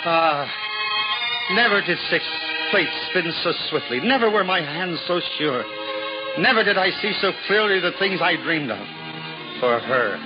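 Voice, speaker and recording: An actor playing a humble, simple-hearted juggler in a 1950s radio drama, earnest and self-deprecating. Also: male voice, unhurried. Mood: awed. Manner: earnest, self-deprecating, lively swings in pitch. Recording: dull old radio broadcast with hall reverb and music.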